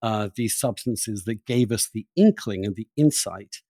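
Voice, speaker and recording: A man's voice: fairly low pitch, a little slow, warm tone, dry recording, clean studio sound. A man hosting a reflective long-form interview, searching for his phrasing and often repeating words as he thinks aloud. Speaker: interviewer, podcast host.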